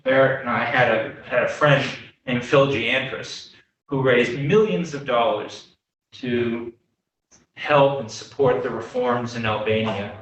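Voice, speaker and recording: An older American man giving an informal lecture, telling anecdotes in a halting, self-deprecating way. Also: mid-range voice, a bit bright. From Boston, United States. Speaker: guest lecturer, development consultant. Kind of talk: informal slide lecture with audience questions. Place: echoey meeting room before a small audience. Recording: very echoey room, distant microphone.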